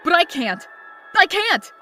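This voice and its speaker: A woman acts out a young woman worried sick over her friend, in melodramatic comic dub style. Her voice is very high and bright, swinging widely with emotion. Music plays underneath. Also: sing-song, takes it slowly, exaggerated voice. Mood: distraught. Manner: emotional, pleading, dramatic.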